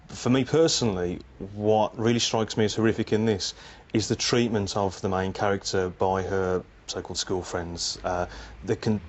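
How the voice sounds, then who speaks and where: Male panellist, low-pitched voice, conversational book discussion. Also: slight background noise.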